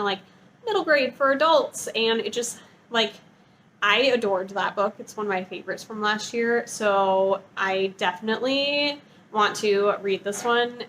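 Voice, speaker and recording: A woman's voice: fairly high pitch, fairly bright tone, a little hiss or hum. A woman talking in a casual, chatty vlog style, with an enthusiastic, gushing and informal manner. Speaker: book review vlogger.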